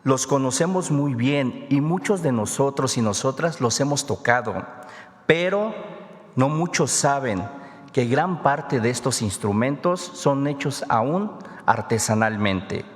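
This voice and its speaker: A man's voice: medium pitch, lively intonation, measured pace. A man whose first language is Ayuuk, reading a solemn scripted segment as presenter at a press conference. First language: Ayuuk (Mixe). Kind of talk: read scripted narration. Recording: reverberant hall.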